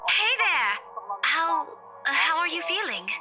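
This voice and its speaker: A woman's voice: very high-pitched, very animated, unhurried, heavily acted. An actress playing a concerned but sceptical young woman in a visual novel game, reasoning and firm. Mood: gentle, concerned. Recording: loud game music behind. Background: music.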